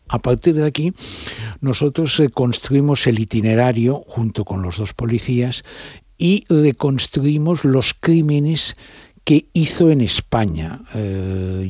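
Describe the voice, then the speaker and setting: A man's voice: lowish voice, a little mellow, slightly rough, dry recording, studio quality. A man from giving an unscripted interview as a film director, explaining discursively and searching for his words. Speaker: documentary director interviewed as guest.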